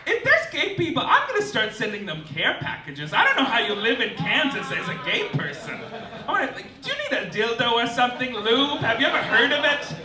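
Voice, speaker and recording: A man's voice: very high voice, wildly swooping pitch, brisk, a crowd in the background. A man doing a satirical stand-up monologue as host, in a campy, theatrical manner with mock-formal announcements. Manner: campy, theatrical, swooping emphasis. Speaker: stand-up comedian hosting a live show. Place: indoor cabaret club stage. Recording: roomy live stage, distant, clearly noisy.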